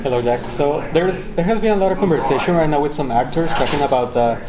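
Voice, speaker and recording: A man's voice: fairly high pitch, fast talker. A man asking a long, conversational question as a press interviewer. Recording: echoey room, busy crowd chatter.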